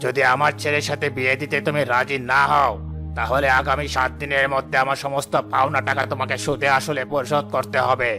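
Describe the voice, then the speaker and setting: Man playing a scheming village headman over loud music. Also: medium pitch, little pitch movement, brisk. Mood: threatening. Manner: commanding, calculating. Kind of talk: cartoon voice acting.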